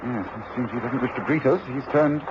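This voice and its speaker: A man playing a shrewd, self-assured gentleman detective with a precise, knowing manner in a radio drama. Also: mid-range voice, a little fast, natural voice. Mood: keenly observant. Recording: dull, noisy radio recording.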